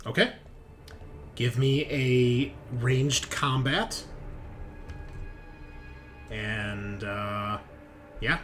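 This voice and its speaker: A man's voice: medium pitch, extremely slow, long pauses, a bit bright, soft background music. A man acting as game master, talking players through a tabletop roleplaying game. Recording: faint background noise.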